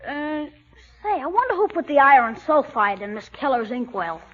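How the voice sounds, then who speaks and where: An actor playing a cheeky, cagey schoolboy in a 1940s radio comedy. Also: male voice, very high voice, very animated, moderate pace, fairly bright tone, full character voice. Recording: dull, faintly noisy 1940s radio recording.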